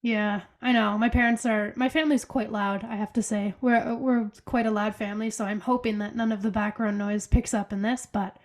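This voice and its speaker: A woman's voice: medium pitch, steady tone, normal speed, hardly any pauses. A young woman with a cold and a stuffy, congested voice, co-hosting a casual podcast from her bedroom over a video call, chatty and upbeat with lots of fillers. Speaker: podcast co-host. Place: bedroom at home.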